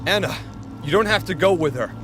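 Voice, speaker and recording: A man's voice: sits high, lively intonation, fairly quick, music in the background. An actor playing a protective, earnest male warrior in an audio drama, calling out urgently. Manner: urgent, shouting. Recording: clear background noise.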